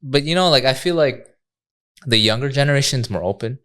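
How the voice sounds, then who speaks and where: A man's voice: mid-range voice, lively intonation, brisk, a bit bright. A man from Quebec co-hosting a podcast, agreeable and conversational, asking easy questions. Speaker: podcast host.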